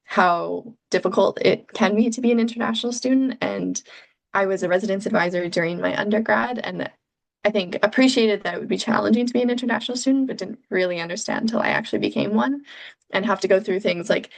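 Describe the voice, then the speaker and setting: A young Canadian woman, a PhD researcher, giving thoughtful, measured answers in an interview with frequent ums. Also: mid-range voice, vocal fry.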